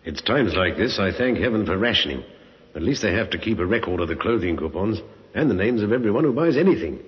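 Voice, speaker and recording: An actor playing a brisk, methodical Scotland Yard inspector in an old-time radio crime drama, musing and courteous but commanding. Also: male voice, fairly low pitch, lively intonation, slightly rough. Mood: musing, decisive. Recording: dull, noisy old radio recording.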